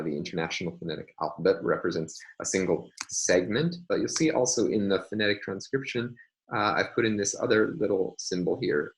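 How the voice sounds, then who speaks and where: A man lecturing in a measured way, often pausing with ums and uhs as he explains. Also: sits low, low-quality recording. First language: English. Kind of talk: recorded online lecture.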